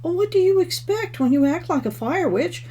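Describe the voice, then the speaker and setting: A woman's voice: extremely high pitch, animated, normal speed, dark tone, pitched above the performer's own voice. A woman voicing a timid, flustered stepmother in a storybook reading, with a pleading, apologetic manner. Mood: reproachful. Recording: clearly noisy home recording.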